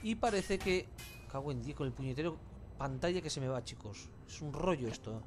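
A man's voice: a little high, lively intonation, fairly quick, over music. A man with an accent from Spain giving casual, colloquial gameplay commentary with mild swearing. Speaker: gamer, let's play host.

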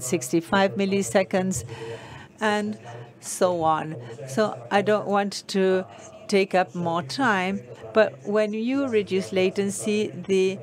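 A woman giving a steady, measured simultaneous interpretation of a conference talk. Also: on the low side. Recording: conference hall with audience noise.

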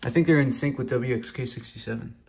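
A man's voice: medium pitch, quick pace. A man giving casual, off-the-cuff commentary in short, slangy remarks. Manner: short interjections and slangy muttering. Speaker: weather radio hobbyist filming. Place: indoors in a home room. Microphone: handheld camera or phone, behind it. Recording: noisy room with radios playing. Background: weather radio broadcasts.

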